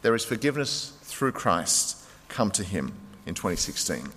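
A man's voice: fairly low pitch, sing-song, moderate pace, a bit bright, slight background noise. A male pastor preaching a sermon in a church, emphatic and urging his listeners to act now. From Greek heritage. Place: church sanctuary. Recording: long reverb of a large hall.

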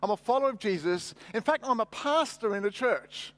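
A man's voice: high-pitched, lively intonation, a little fast, fairly bright tone, dry recording. A middle-aged man preaching as a pastor, conversational and emphatic on key phrases. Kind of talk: sermon with personal anecdotes.

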